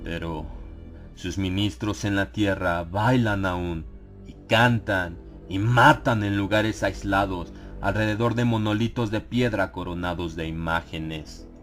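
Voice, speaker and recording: A man narrating a dramatized horror audiobook in a grave, theatrical manner with dramatic pauses. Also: low voice, a bit bright. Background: background music.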